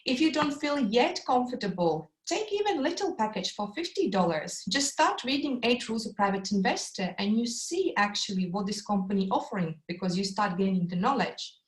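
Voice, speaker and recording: A woman with a Latvian accent gives an eager online sales talk, her lines full of um and uh. Her voice sits at an ordinary middle pitch. She is heard over a video call from a room with noticeable echo. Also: expressive, moderate pace, hardly any pauses. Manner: enthusiastic, with frequent um and uh fillers. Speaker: webinar presenter.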